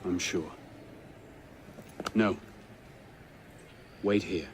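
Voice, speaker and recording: Low-voiced, determined young assassin. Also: male voice, drawn-out words, a little hiss or hum. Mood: focused. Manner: terse, serious, understated. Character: young male assassin, earnest, determined investigator. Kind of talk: dramatic video game cutscene acting.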